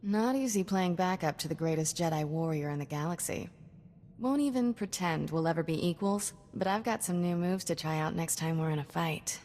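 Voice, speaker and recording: An actress playing a sassy, cocky young Jedi sidekick in a video game, with wry, clipped banter. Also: female voice, lowish voice, moderate pace, a little mellow, big-room sound, close to an everyday voice. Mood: playful, cocky.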